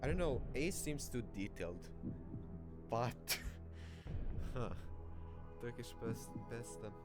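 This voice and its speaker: A man's voice: mid-range voice, animated, slow pace, loud music behind the voice. A man whose first language is not English, a quiz contestant thinking aloud in a hesitant, rambling way with many fillers. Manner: hesitant, rambling, full of fillers and restarts, laughs often.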